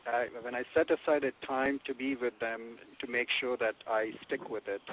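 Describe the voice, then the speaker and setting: A man's voice: mid-range voice, steady tone, moderate pace, a bit bright. A man answering a coach on a group call, hesitant and searching for words, often repeating himself. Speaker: coaching client, a working parent. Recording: noisy phone conference line with clicks.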